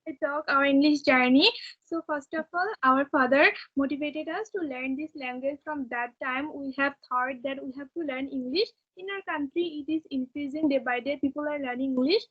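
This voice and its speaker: A woman's voice: high voice, steady tone, normal speed. A girl of about twelve, speaking earnest, formal, rehearsed learner English. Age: child, about twelve. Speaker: young English learner with a video channel. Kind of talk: earnest conversation practice. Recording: dull, narrow phone-like call.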